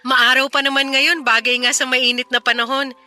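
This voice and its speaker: A woman's voice: high voice, little pitch movement, moderate pace, pushed and bright, faint music behind. A woman playing a tender, indulgent mother in a Filipino radio drama, speaking affectionately and playfully to a small child.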